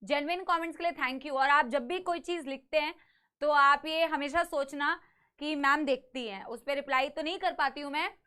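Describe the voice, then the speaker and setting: A young woman teaches a live online biology class with a slightly sore throat. Her voice sits very high and sounds bright and forceful. She coaxes and reassures her students, calling them "beta" and slipping English phrases into the lesson. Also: moderate pace.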